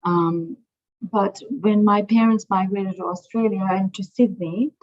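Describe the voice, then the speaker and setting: A middle-aged woman from Sri Lanka answers interview questions as a museum director, in a voice of middling pitch. She speaks thoughtfully, pausing and restarting as she searches for the right words. The recording is dull and lo-fi. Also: fairly even intonation, a little mellow. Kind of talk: interview conversation. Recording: dull lo-fi sound.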